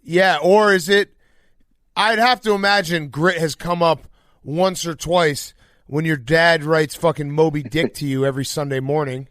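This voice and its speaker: A man's voice: on the high side, fairly even intonation, moderate pace, a bit bright, no echo, clean studio sound. An American man hosting a casual sports podcast, bantering in an enthusiastic, jokey, bro-like way.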